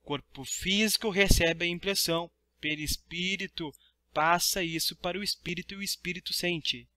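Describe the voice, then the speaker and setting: A man's voice: high-pitched, steady tone, normal speed, pushed and bright, no echo. A Brazilian man teaching a study lesson in a didactic way, reading aloud and explaining. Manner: didactic, checks understanding with tag questions.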